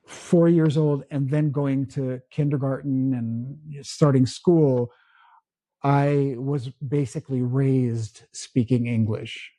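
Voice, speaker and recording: Filipino-born guy, tagalog first language, very mellow low-bright voice, dry close sound, halting podcast chat. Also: steady tone, no echo, low-quality recording. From Philippines. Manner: slow, halting, many restarts. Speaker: podcast co-host. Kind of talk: casual chat.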